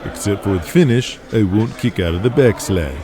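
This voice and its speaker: A man's voice: lowish voice, normal speed, a crowd in the background, close to an everyday voice. A man voicing easygoing 1980s pro wrestlers talking casually backstage in an audio drama. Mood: concerned, friendly. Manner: casual locker-room talk. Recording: music under the voice.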